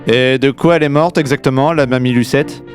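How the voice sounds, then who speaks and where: A man's voice: mid-range voice, steady tone, brisk, lightly acted. Man playing a bumbling, squeamish police inspector. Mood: casual, musing. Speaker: narrator and character in audio comedy. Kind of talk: comedic audio drama acting. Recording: music under the voice.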